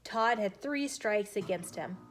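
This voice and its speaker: A woman storyteller reading a children's chapter book aloud in an expressive, emphatic way. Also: mid-range voice, unhurried. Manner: animated, emphatic phrasing with acted dialogue. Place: inside a bookshop. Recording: noticeable room noise behind the voice.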